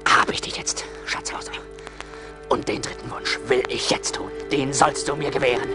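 An actor playing a proud, self-pitying young charcoal burner in a German radio play. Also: male voice, mid-range voice, steady tone, normal speed, over loud music, lightly acted. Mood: defiant.

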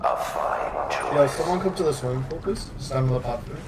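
A man's voice: mid-range voice, wildly swooping pitch, moderate pace. Young man giving joking orders mid-game. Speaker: gamer. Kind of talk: casual gaming chat with friends. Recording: clear background noise.

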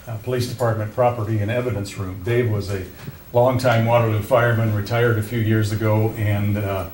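A man in his sixties, a mayor chairing a city council meeting in a casual, halting way with restarts and fillers. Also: lowish voice, level tone, darkish tone. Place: council chamber. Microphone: desk microphone. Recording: roomy chamber, faint noise.

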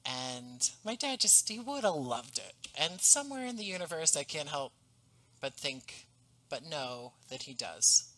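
A man in his thirties, from the US East Coast, being interviewed over a video call about the audio drama he created, speaking in a thoughtful, earnest, measured way into a headset mic. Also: a little high, very animated, pushed and bright, low-quality recording. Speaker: podcast creator and guest. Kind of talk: reflective conversational interview. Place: home room, on a video call. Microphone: headset boom mic.

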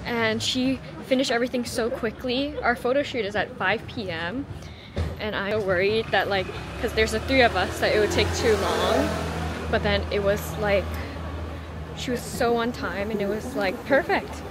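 A woman's voice: mid-range voice, moderate pace, very noisy. An eighteen-year-old woman chatting casually to a handheld camera, gushing informally and repeating words for emphasis. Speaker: vlogger. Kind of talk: casual vlog chat to camera. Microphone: handheld camera at arm's length. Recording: loud music behind the voice. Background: music.

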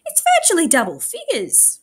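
A woman voicing a cheeky nine-year-old boy in a storybook, matter-of-fact and quick to protest. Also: sits high, huge pitch swings, voice pushed up.

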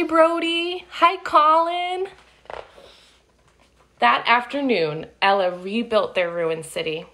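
A woman in her thirties reading a picture book aloud to young children, with sing-song delivery and friendly asides. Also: fairly high pitch. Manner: animated, slow and deliberate, sing-song child-directed delivery. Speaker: children's storytime reader. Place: living room at home, indoors. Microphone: phone or webcam at arm's length.

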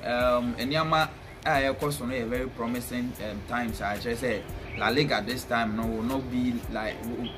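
A young man with a Ghanaian accent discusses football casually as a pundit. His speech is halting, with words often repeated, and his pitch sits in the middle range. Music plays clearly behind him. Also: steady tone. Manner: halting, repetitive. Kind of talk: casual sports punditry, mixing English with a local language. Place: small bare indoor room, seated at a table.

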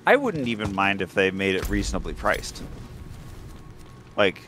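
Low-voiced man chatting while gaming. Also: big pitch swings, normal speed, pushed and bright, a little hiss or hum. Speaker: co-op gamer. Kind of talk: casual chat while gaming. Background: faint game music.

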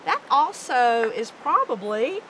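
A woman in her fifties from the American South walks viewers through a recipe in a chatty, instructive way. Her voice sits a little high. She is recorded on a wooded deck through a lapel mic, with outdoor noise clearly audible behind her. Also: sing-song, slow pace, bright, forceful tone. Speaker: guest cook. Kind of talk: cooking demonstration.

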